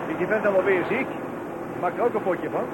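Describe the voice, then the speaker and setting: An actor playing a gruff, harried, impatient workshop boss in a radio play, brusque and unsympathetic, on a tinny, noisy recording. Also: male voice, sits high, brisk, lightly acted. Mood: irritable, complaining. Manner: brusque, irritable. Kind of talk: Dutch radio play acting. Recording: tinny, band-limited sound with loud noise.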